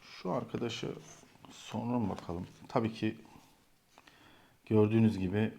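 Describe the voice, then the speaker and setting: A man's voice: fairly low pitch, fairly even intonation, unhurried, creaky, dark tone, slight background noise. A man hosting a motorcycle vlog, giving a casual, explanatory product review and thinking aloud as he goes. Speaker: motorcycle vlogger hosting own channel.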